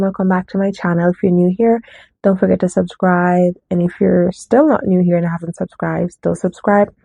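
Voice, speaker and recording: Low gravelly woman, slightly nasal. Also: warm tone. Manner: rambling, often correcting herself. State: coming down with a cold, slightly nasal. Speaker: book vlogger. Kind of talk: casual book haul chat. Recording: dry, tinny phone-like sound.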